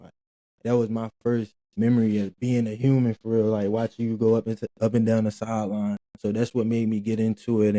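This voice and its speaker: A young man, his voice very dark and mellow. He talks in a loose, rambling way with slang and many restarts, recorded close and dry. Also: fairly low pitch, no echo. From Philadelphia, Pennsylvania. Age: 20s. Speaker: guest, former college football player. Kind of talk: casual interview talk.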